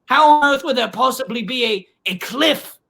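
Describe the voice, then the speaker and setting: A performer as a high, melodramatic teenage girl. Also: animated, moderate pace, creaky, pushed and bright, exaggerated voice. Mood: incredulous. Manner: exclamatory, melodramatic. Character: cocky, irreverent schoolgirl, dramatic and defiant.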